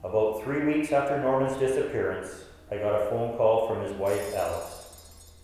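Old grandfather storyteller, acted by a man, lowish voice, radio play, echoey and distant. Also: moderate pace, warm tone, soft background music, close to an everyday voice. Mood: solemn storytelling. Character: old man recounting his friend's disappearance to grandchildren. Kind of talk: scripted radio play narration. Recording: echoey hall, off the mic.

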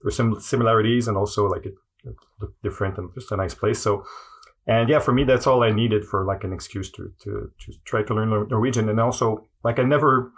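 Hesitant French-Canadian man, low voice. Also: brisk, husky. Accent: Quebec French. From Montreal, Quebec. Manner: hesitant, many fillers and restarts. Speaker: guest. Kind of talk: casual interview answers.

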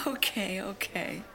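A woman's voice: medium pitch, vocal fry, pushed and bright, exaggerated voice. An older woman acting a teasing, exasperated young schoolgirl with a secret crush in a radio play. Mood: nosy, teasing. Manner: teasing, dramatic.

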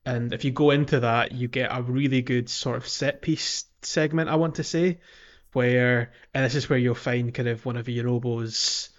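A man's voice: mid-range voice, fairly even intonation, normal speed. A man hosting a podcast, explaining a video game playthrough casually and a bit hesitantly. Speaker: podcast host. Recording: slightly dull lo-fi audio.

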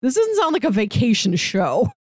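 A woman co-hosting a podcast, chatting casually in an expressive, very informal way. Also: a little high, normal speed, dry recording. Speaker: podcast co-host.

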